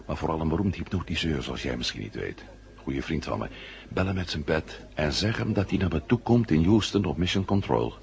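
A male actor playing a brisk, friendly space-mission hero in a 1970 radio drama, assured and familiar. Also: very deep voice, expressive, gravelly, slight background noise, full character voice. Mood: urgent, friendly.

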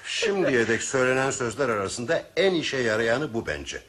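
A man playing a sceptical, pragmatic gentleman on a train in a radio drama, formal and dry in manner. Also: medium pitch, sing-song, moderate pace. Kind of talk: Turkish radio drama acting.

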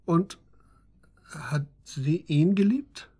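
An actor playing a terse, sceptical police detective who puts curt, probing questions in a radio drama. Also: male voice, a little high, extremely slow, mellow, breathy edge, heavily acted. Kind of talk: German radio drama acting, interrogation dialogue.

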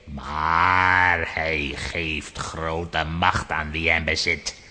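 Villain sorcerer for kids' audio play: deep, forceful, menacing, drawling commands. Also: male voice, little pitch movement, big-room sound, full character voice. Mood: scheming. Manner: commanding, menacing, drawn-out delivery. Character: scheming male evil sorcerer, power-hungry voice thief. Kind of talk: children's audio drama acting.

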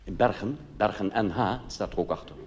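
A man's voice: fairly low pitch, quick pace, noisy background. A man performing a live comic monologue as a cabaret artist, conversational with wry asides, in a reverberant theatre. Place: theatre stage.

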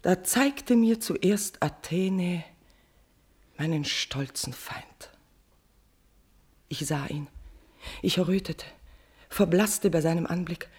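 A woman's voice: on the low side, slow pace, long pauses, darkish tone, husky. Tormented, passionate tragic queen. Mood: guilty despair. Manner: declamatory, swinging from whispers to outbursts. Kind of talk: classical verse tragedy radio play.

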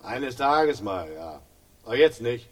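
A man's voice: medium pitch, sing-song, takes it slowly. An actor playing a grimly ironic police inspector in a radio crime drama, mock-jovial and hesitant, repeating himself as he needles. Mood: mock-friendly. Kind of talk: 1960s German radio crime drama acting.

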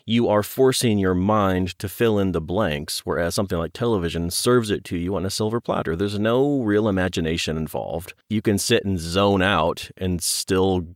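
A man's voice: low voice, normal speed, few pauses, no echo, clean studio sound. A man speaking as an interview guest and audio producer, conversational, earnest and reflective in manner.

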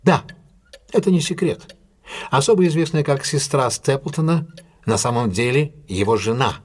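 An actor playing a confident, courteous male detective in an audio drama, speaking in a measured, deliberate and formally polite way. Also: mid-range voice, lightly acted. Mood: insistent, measured. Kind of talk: audio drama acting, classic detective story.

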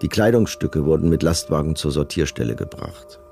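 A man's voice: very deep voice, animated, brisk, gravelly, mellow, faint music behind, exaggerated voice. An actor playing a cold, bureaucratic male camp commandant, reading official reports in a formal, detached way for a documentary radio drama.

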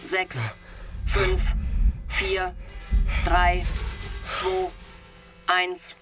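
A performer playing an impersonal announcer in a radio drama, giving formulaic warnings in a flat manner. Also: medium pitch, very slow. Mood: impersonal, ominous. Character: impersonal announcer repeating evacuation warning and countdown. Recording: music behind the voice.

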